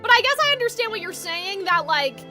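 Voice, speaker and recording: A woman's voice: very high-pitched, lively intonation, a little fast, bright, forceful tone. A woman giving chatty, rambling, reactive commentary while streaming a game. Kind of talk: casual live gameplay commentary. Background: music.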